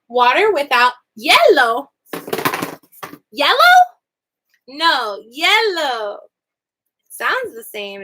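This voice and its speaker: A young adult woman teaching simple Spanish phrases in a casual online class, chatty and animated, often laughing and swooping between high and low notes.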